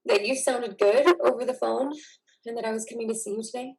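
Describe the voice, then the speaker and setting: A woman's voice: a little high, fairly even intonation, brisk, a little mellow, natural voice, poor audio. A woman playing the caring, affectionate wife of a recovering veteran, speaking tenderly in a dramatic play reading. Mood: tender, concerned.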